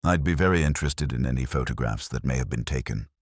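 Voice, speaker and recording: A man voicing a calm, courteous male police inspector in a mystery story, mild, diplomatic and dryly understated. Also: rumbling low, quick pace, slightly rough, no room sound, clean studio sound, exaggerated voice.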